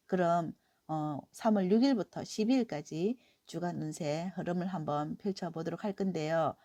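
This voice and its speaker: A middle-aged woman talks through a weekly tarot reading, her voice hoarse from a cold. She sounds low and mellow, easing along with soft fillers and warm encouragement. The recording is close and dry. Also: no echo. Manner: gentle, encouraging, many "eo" fillers. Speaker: tarot reader.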